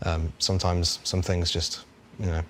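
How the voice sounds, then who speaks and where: A man's voice: sits low, little pitch movement, moderate pace, background noise. A man speaking casually and unscripted as a guest in a discussion about his work. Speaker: show guest, game developer.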